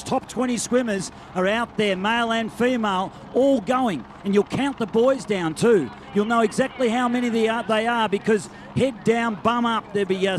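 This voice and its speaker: A man's voice: high voice, slight background noise. A man giving live, chatty race commentary outdoors at a beach event. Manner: chatty, excitable.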